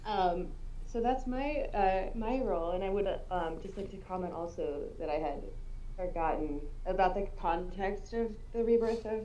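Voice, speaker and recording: A woman's voice: mid-range voice, moderate pace, mellow, muffled recording. Tongue-in-cheek woman, noisy mic. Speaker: voice actor guest. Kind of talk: casual chat. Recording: noisy mic with room ambience.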